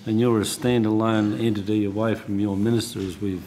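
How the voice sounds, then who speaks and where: An Australian man questioning officials as a senator in a hearing, with a blunt, halting, sardonic manner. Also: lowish voice, little pitch movement, mellow, slight background noise. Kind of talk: parliamentary questioning. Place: committee hearing room.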